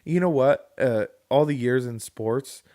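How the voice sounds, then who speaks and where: A man co-hosting a podcast in casual chat, opinionated and thinking out loud with fillers. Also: mid-range voice, unhurried, dry recording, clean studio sound. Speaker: podcast co-host.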